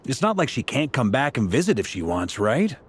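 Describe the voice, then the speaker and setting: An actor playing an easygoing, confident grand master knight in a video game, with a breezy colloquial manner. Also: male voice, medium pitch, big pitch swings, fairly quick, background noise, natural voice. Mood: reassuring. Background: music.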